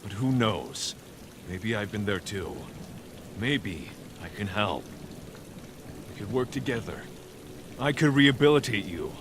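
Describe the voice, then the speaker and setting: An actor playing a grim, weary vigilante hero in a comic dub, stern, earnest and measured. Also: male voice, fairly low pitch, lively intonation, noisy background. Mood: grave, pleading.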